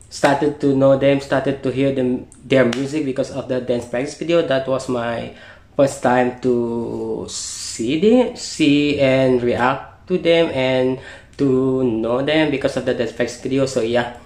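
Reaction host: young Malaysian male, excitable and repetitive, medium pitch, roomy sound. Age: young adult. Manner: gushing, repeats phrases. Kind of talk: casual excited reaction chat. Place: small bedroom, indoors.

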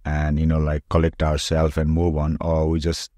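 A middle-aged man from Nepal with a Nepali accent, telling his story casually and haltingly as an interview guest. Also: rumbling low, monotone, warm tone, no room sound, studio quality. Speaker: podcast guest, former soldier. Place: small indoor room with curtains. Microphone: close podcast microphone on arm.

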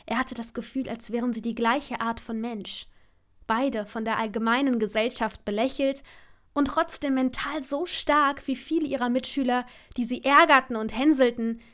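A woman's voice: fairly high pitch, fairly bright tone. A woman reading scripted story narration as the narrator of an audio drama.

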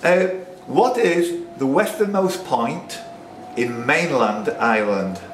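A man's voice: medium pitch, lively intonation, takes it slowly. Guy quizmaster in a pub reading questions n joking about, far from the mic, loads of echo. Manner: chatty, jokey asides between questions. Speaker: quiz host reading questions. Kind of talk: live pub quiz hosting, questions and banter. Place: indoors in a pub. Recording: echoey, noisy pub, distant microphone.

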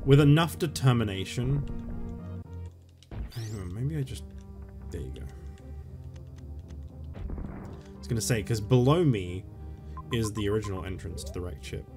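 A man rambling casually and swearing while playing a game, his voice going hoarse. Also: on the low side, lively intonation, a little slow, pauses often, over music. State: losing his voice. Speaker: gaming YouTuber. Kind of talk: casual let's-play commentary.

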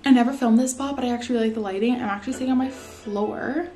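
A woman's voice: fairly high pitch, rapid-fire, warm tone. A young woman in her twenties from Canada, chatting casually to camera at home in an upbeat way, filmed on a nearby camera. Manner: chatty, upbeat, lots of "like" and "you guys". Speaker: vlogger. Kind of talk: casual vlog chat to camera. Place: living room at home, indoors.

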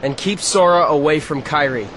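A man's voice: a little high, moderate pace, a bit bright, slight background noise, close to an everyday voice, low-quality recording. An actor playing a cold, arrogant teenage boy rival in a video game, with a taunting, self-assured manner.

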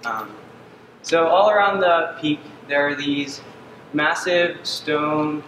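A young man from Uganda giving a senior capstone presentation on a dark stage, earnest and often pausing with ums. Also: on the high side. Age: young adult. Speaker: student presenter. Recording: distant echoey hall with ambient noise.

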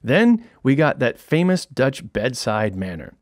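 A man's voice: medium pitch, a little slow, no echo. A man in his fifties with an American accent, reading his own comic book aloud as an audiobook in a small studio. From United States. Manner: wry, lively storytelling with comic emphasis. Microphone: studio condenser mic with headphones. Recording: dry studio sound.

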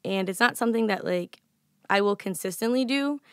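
A woman in her twenties with a slightly raspy voice speaks candidly into a desk mic as a podcast guest. Her voice has an ordinary, mid pitch for a woman. The sound is close and dry, with no room echo. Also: a little fast. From United States. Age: 20s. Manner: candid, laughing, animated. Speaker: guest singer. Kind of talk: casual podcast interview. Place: small studio with colored lights and a table. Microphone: studio mic on a desk stand.

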